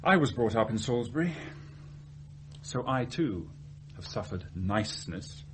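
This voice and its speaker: An actor playing an earnest, lovestruck research scientist in a radio play, confessing his feelings in a hesitant, deliberate way. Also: on the low side, very animated, background noise. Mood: earnest, measured. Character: earnest, lovestruck, self-searching male research scientist from genteel Salisbury. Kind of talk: radio drama acting.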